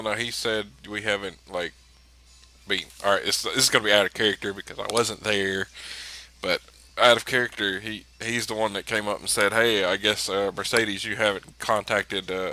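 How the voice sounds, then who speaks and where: A man's voice: lowish voice, level tone, a little fast, pushed and bright, a little hiss or hum, low-quality recording. A man talking casually as a player in a tabletop role-playing game.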